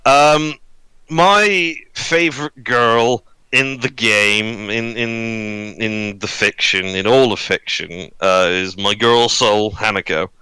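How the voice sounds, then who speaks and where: A man in his early twenties chatting and telling personal stories on a podcast, halting, sweary and self-deprecating. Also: lowish voice, bright, forceful tone, smooth, no room sound, rough recording. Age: early 20s. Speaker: podcast crew member. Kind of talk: casual podcast chat, personal storytelling. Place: online voice call recorded on own mic.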